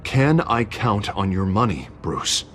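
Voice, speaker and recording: An actor playing a middle-aged political candidate who talks hesitantly and apologetically, pleading for support. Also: male voice, lowish voice, very animated, takes it slowly, heavy background noise. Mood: uneasy.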